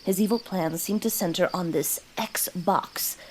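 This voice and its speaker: A woman's voice: fairly low pitch, measured pace, a little mellow. A woman voicing an earnest alien disguised as a preteen boy in a children's audio drama, with formal, stilted, literal-minded speech. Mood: solemn reporting.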